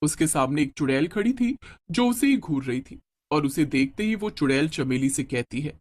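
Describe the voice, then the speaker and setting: A male storyteller narrates a written moral tale. His voice sits high for a man, in a scripted reading style. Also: lively intonation, normal speed.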